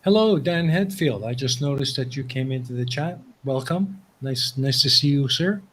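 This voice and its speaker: A man's voice: medium pitch, expressive, normal speed, a bit bright, slightly rough. A man hosting a live stream from home, reading out viewers' chat questions in a friendly, affirming way. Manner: friendly, affirming with many "mm-hmm"s.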